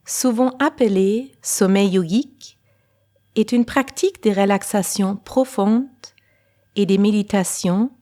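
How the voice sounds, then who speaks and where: A woman guiding a relaxation meditation in a calm, unhurried way, pausing between short phrases. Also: mid-range voice. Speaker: guided meditation teacher. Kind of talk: slow guided relaxation meditation. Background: faint music.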